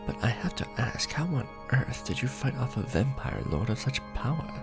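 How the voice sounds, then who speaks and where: A man playing an ancient vampire lord in an ASMR romantic roleplay, formal and protective, with measured, theatrical diction. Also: mid-range voice, sing-song, over music. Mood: grave. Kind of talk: ASMR romantic roleplay, scripted character acting.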